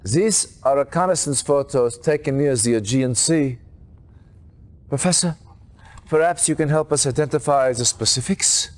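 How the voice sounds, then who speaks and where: A man's voice: medium pitch, moderate pace, natural voice. An actor playing a businesslike military leader in a video game cutscene, formal and authoritative as he gives a briefing.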